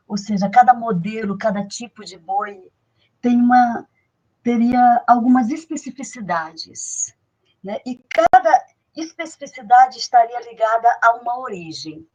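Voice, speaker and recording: Woman guest, kinda high voice, halting, lots of fillers while explaining. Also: a little mellow, poor audio. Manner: measured, halting, with many fillers and restarts. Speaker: guest expert on folk traditions. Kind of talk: explanatory interview talk.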